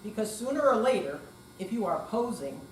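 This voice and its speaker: A woman's voice: on the high side, big pitch swings, moderate pace. An older woman giving a dramatic stage reading as an amateur readers theater performer. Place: senior center hall, indoor live performance. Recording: distant, echoey room with faint noise.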